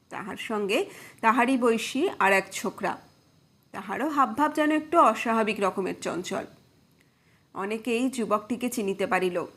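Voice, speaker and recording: A woman reading a literary detective story aloud as scripted audiobook narration. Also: mid-range voice.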